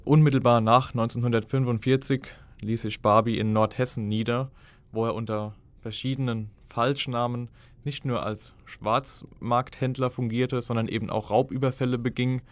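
A man's voice: medium pitch, steady tone, moderate pace. A man giving explanatory historical commentary, emphatic and building his points by repetition. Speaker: documentary commentator.

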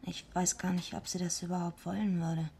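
A woman acting in a radio play as a tough, bitter, streetwise heavyweight wrestler, gruff, blunt and slangy. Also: on the low side, little pitch movement, brisk, warm tone, full character voice. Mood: wistful, hurt.

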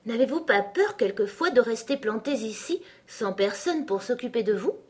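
Lady doing a curious little girl in a kids storybook, polite, full of questions, expressive. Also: on the high side, moderate pace, voice pushed up, natural voice. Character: curious, polite young girl, easily astonished. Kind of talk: children's storybook reading.

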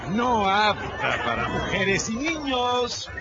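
A man's voice: high-pitched, wildly swooping pitch, slow, muffled recording, over a noisy crowd, very noisy, exaggerated voice. An actor playing a pompous swindler who poses as a king, a hammy tragedian declaiming in a radio drama. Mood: hawking grandly. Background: music.

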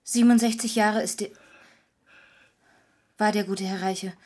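A woman's voice: mid-range voice, fairly even intonation, a little slow, a little mellow, lightly acted. An actress in a German radio play playing a loyal, plain-spoken housekeeper mourning her master in earnest, old-fashioned speech. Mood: fond, mournful.

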